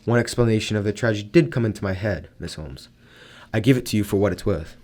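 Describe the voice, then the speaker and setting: A man's voice: on the low side, fast talker, darkish tone, lightly acted. A man acting a proud, overbearing wealthy man in a radio drama, formal and domineering in manner. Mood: stern, sober.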